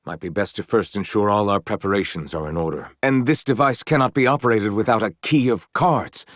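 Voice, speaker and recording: An actor voicing a courteous, earnest and gently proud young knight in a video game, with formal, eloquent phrasing. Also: male voice, lowish voice, fairly quick, no echo, studio quality, close to an everyday voice. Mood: grave, measured. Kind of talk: video game character voice lines.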